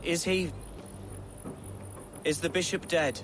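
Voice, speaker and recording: An actor playing an earnest young alderman in a game cutscene, speaking formally and warmly to an ally. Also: on the high side, fairly even intonation, takes it slowly, a little hiss or hum. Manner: formal, heartfelt, self-deprecating. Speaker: newly named alderman of a shire. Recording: game cutscene with loud music.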